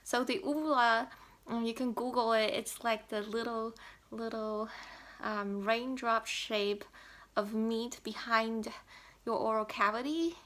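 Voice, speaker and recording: A woman's voice: on the high side, steady tone, measured pace, a bit bright. A young woman from Taiwan with a Mandarin accent, speaking English as she explains to camera how she learned a pronunciation, with many fillers and restarts. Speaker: language learner vlogger.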